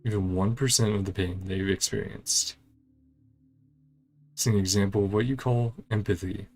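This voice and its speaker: A man's voice: lowish voice, steady tone, moderate pace, a little mellow. A man doing casual playthrough commentary on a video game, speaking in clipped fragments and murmured reactions. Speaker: gaming channel host.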